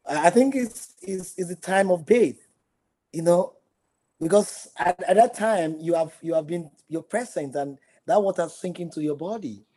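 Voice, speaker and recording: Husky man kinda high voiced, stumbling and repeating himself, laughs easy. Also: dark tone. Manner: halting, repeats words, laughs easily. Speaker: interview guest. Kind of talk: casual interview answers.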